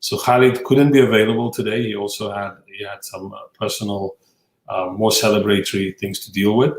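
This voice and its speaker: A male podcast host introducing a film and then questioning his guest, with a halting delivery full of fillers. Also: fairly low pitch, little pitch movement, reverberant, low-quality recording.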